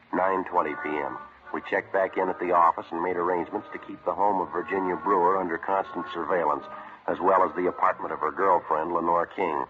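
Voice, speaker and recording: An actor playing a stoic American police sergeant in an old radio crime drama, terse and matter-of-fact. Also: male voice, low-pitched, close to an everyday voice. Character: stoic, businesslike male police sergeant who narrates his cases. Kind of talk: 1950s radio crime drama, clipped narration. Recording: noisy, dull old radio recording.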